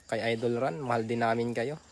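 A man with a Filipino accent chatting casually as he reads out shout-outs and thanks livestream viewers. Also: medium pitch, fairly even intonation, brisk. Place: outdoors on a grassy waterside bank. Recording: clearly noisy outdoor phone recording.

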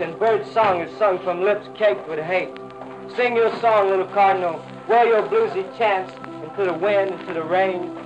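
A man, a jazz poet, giving a spoken-word reading of Beat poetry. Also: sits high. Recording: dull old 1960 radio recording, music.

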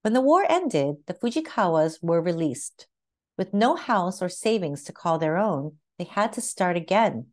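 A woman reading a children's picture book aloud as narrator, with expressive, carefully phrased storytelling. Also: fairly low pitch, a little slow.

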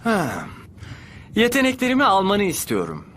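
High, lively-toned cool teenage rival. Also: male voice, moderate pace, a little hiss or hum, full character voice. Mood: confident, cool. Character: cool, self-assured, mysterious teenage male rival. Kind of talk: dubbed anime acting.

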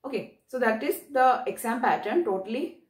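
A woman's voice: fairly high pitch, moderate pace. Young Indian-accented woman explaining, in an echoey room. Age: young adult. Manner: instructional, repeats key points, often says okay. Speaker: presenter of an exam guidance channel. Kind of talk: explanatory talk to camera. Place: small bare-walled room indoors. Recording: roomy echo, distant mic.

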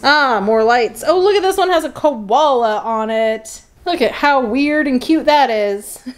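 A woman's voice: high voice, lively intonation, a little slow. A woman giving casual, sardonic running commentary and reading printed copy aloud in a conversational way. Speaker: host of a catalog flip-through series.

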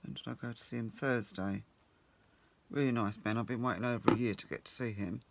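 Croaky man muttering while crafting. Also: medium pitch, steady tone, brisk. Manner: chatty, muttering to himself while working. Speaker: crafting channel host. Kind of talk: casual craft narration. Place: home craft table.

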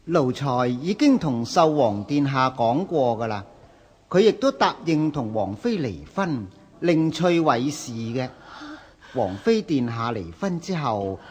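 An actor playing a fawning, scheming palace eunuch in a historical radio drama, obsequious and coaxing. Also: male voice, mid-range voice, breathy edge, heavily acted. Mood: smooth, persuasive.

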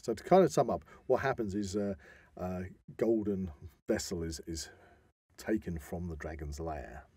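A man with a low, mellow and rather husky voice co-hosts a podcast. He explains things earnestly and informally, circling back to rephrase as he goes. The recording is close and conversational. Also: moderate pace, no room sound. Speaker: podcast co-host. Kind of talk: informal podcast discussion, explaining a legend and traditions.

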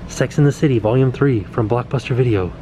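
A man in his forties talks at an average pitch while he walks a camera around a small indoor set. He sounds eager and keeps pointing out small details. A faint air conditioning hum sits under his voice. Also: normal speed, low-quality recording. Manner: excited, pointing things out. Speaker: vlogger. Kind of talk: casual walkthrough vlog. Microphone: camera held at arm's length.

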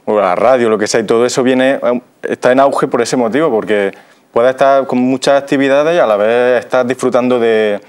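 A man's voice: medium pitch, fairly quick, clean tone. A man in his thirties with an accent from Spain, chatting casually and explanatorily as a guest in a studio interview. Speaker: radio theatre actor, interview guest. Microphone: lapel mic.

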